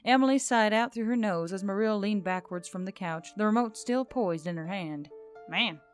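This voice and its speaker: Woman, story narrator, mid-pitched, scripted fiction reading, faint music underneath. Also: moderate pace. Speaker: audiobook narrator.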